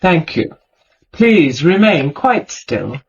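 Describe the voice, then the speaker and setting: Slow, husky, regal old lady. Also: low-pitched, big pitch swings, highs cut off, full character voice. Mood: gracious, stately. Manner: stately, measured, imperious. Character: regal, blind old mother with hypnotic command. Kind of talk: radio drama acting.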